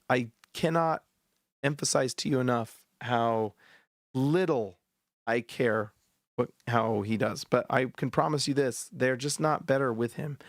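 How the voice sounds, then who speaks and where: A man hosting a post-game basketball show, ranting bluntly and heatedly with a sore, hoarse throat. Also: mid-range voice, no room sound, studio quality. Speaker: sports podcast host.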